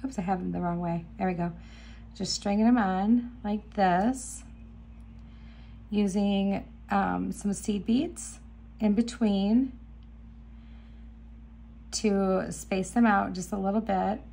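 Chatty woman with a gravelly, froggy voice. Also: mid-range voice, takes it slowly, long pauses, mellow. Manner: chatty, enthusiastic, talks while working. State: slightly hoarse, clearing throat. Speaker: beading channel host. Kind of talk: casual crafting tutorial. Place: indoor craft table. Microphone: camera above table, hands only.

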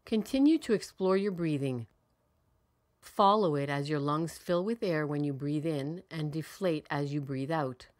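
A woman leading a guided meditation in a calm, soothing, measured way with long pauses between phrases. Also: low-pitched.

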